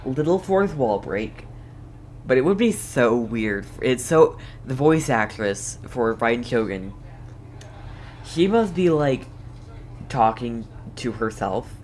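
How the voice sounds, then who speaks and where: A woman's voice: extremely low pitch, expressive, measured pace, darkish tone. A woman streamer giving casual let's play commentary, thinking aloud in a hesitant way. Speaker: game streamer. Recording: faint background noise.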